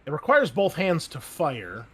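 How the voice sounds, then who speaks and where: A man acting as game master, narrating a tabletop roleplaying game and explaining things in a conversational, halting way. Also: a little high, huge pitch swings, a bit bright, slightly rough.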